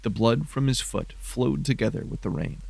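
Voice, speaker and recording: A man's voice: medium pitch, animated, normal speed, creaky, darkish tone, breathy edge, rough recording. A man narrating scripted horror fiction in a dramatic storytelling style.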